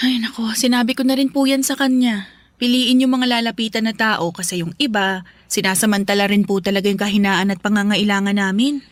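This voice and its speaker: A woman's voice: mid-range voice, steady tone, a little fast, no echo, natural voice. A woman acting in a Filipino radio drama as a young mother, respectful and friendly, speaking politely and expressively to an elder relative. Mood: earnest, resigned.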